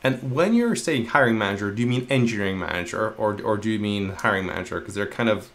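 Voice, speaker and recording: Man from hungary, thirties, quick pace, restarts and repeats himself, earphone mic on a video call. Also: fairly low pitch, expressive, fairly bright tone, slight background noise. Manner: fast, restarting, repeats words. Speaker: guest, engineering manager. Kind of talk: casual interview talk. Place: home room, video call. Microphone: earphone headset mic.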